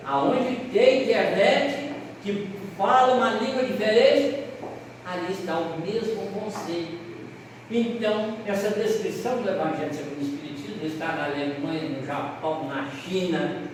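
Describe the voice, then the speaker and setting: A high-voiced Brazilian man leads a seminar as an animated storyteller, stretching out words and stopping to fix his own slips. He sounds set back from the mic in a long, echoing hall with a faint hiss. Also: distant mic. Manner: animated storyteller, pausing on words and correcting himself aloud. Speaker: lecturer at a Spiritist seminar. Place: lecture hall.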